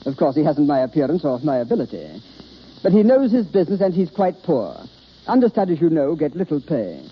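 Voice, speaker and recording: Pompous, swooping, vain stage star. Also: male voice, a little high, very animated, fairly quick, husky. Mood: condescending. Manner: grandiose, self-important. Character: vain, pompous leading stage actor, a man. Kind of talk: 1930s American radio acting. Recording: muffled old radio broadcast.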